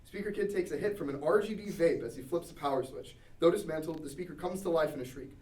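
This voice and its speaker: A man's voice: fairly high pitch, fast talker, a little mellow. A man reading scripted stage directions as narrator at a table read. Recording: echoey room, distant mic.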